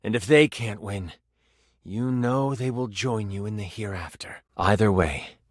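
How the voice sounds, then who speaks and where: A man's voice: on the low side, unhurried, no echo, clean studio sound. A man playing a game master character, narrating a fantasy tabletop adventure theatrically, with conversational asides. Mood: solemn. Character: animated personified twenty-sided die running a fantasy game as game master.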